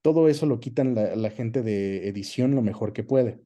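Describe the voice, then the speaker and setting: Very mellow, dark-voiced Mexican man explaining. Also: mid-range voice, normal speed, dull audio. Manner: explanatory, checks in with tag questions. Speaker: interview guest, voice actor and dubbing director. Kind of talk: casual conversational explanation.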